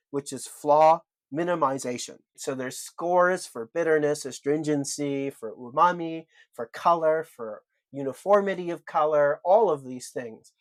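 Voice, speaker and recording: A man's voice: fairly high pitch, no echo. A man co-hosting a podcast, laying out his argument point by point with emphasis. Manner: emphatic, builds arguments in lists. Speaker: podcast co-host.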